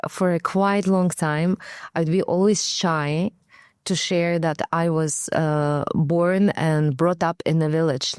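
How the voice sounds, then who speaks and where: A woman's voice: low-pitched, darkish tone, dry recording, studio quality. A woman from Kazakhstan whose first language is Russian, speaking as an interview guest in a hesitant way, with many fillers as she searches for words. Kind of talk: conversational interview.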